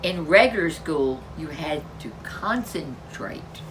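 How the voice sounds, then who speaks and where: A woman storyteller reading a children's picture book aloud, expressively, with drawn-out emphasis and chatty asides. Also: deep voice, animated, over music, low-quality recording. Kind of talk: expressive read-aloud narration for young children.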